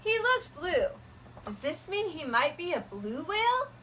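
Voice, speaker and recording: A woman teacher reading a picture book aloud to her students, gentle and expressive. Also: extremely high pitch, a little slow, slight background noise.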